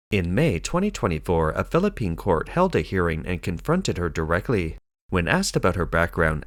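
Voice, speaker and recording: Male narrator, low and animated, dry studio read for a news explainer. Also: no room sound. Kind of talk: scripted documentary narration.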